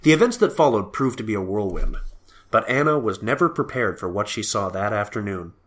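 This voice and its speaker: A man's voice: lowish voice, lively intonation, fairly quick, a bit bright. A man reading scripted story narration for an audio drama.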